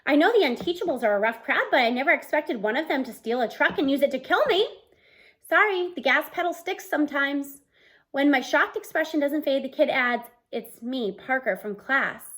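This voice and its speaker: A woman in her thirties reading a novel aloud in an expressive, storytelling style, acting out the dialogue. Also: high voice, a little fast. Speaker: read-aloud book reader. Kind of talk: expressive children's audiobook-style read-aloud. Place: small room at home, indoors.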